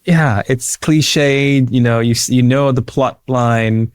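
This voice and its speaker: A man's voice: medium pitch, fairly even intonation, slow pace, smooth, no echo, studio quality. A man in his early fifties from Sri Lanka talking casually as a podcast guest. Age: early 50s. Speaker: interview guest, founder. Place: living room at home, indoors. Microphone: close desk microphone on a video call.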